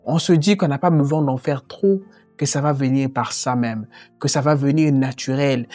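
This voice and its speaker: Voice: mid-range voice, normal speed, warm tone, dry recording, soft background music. A voice narrating a French-language lesson on learning English, earnest and explanatory. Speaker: language teacher.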